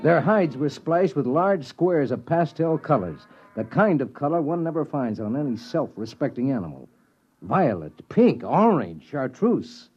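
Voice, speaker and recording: Wry space surveyor, animated pitch. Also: male voice, unhurried, slightly rough, soft background music, heavily acted. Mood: wry, vivid. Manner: dry, wry storytelling. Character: wry, matter-of-fact male space surveyor with a stomach ulcer. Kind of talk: 1950s radio drama first-person narration. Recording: dull vintage radio recording.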